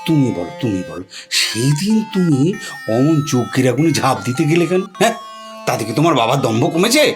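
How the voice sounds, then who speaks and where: A woman's voice: a bass voice, very animated, brisk. A woman playing a coaxing, playful old Shiva in an audio drama, repeating words as he wheedles. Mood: coaxing, pleading. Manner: wheedling, stammering repetitions of words. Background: music.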